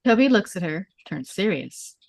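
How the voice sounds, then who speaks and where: A woman's voice: mid-range voice, very animated, normal speed, vocal fry, dry recording. A woman reading screenplay stage directions as scripted narration, in short clipped storytelling phrases.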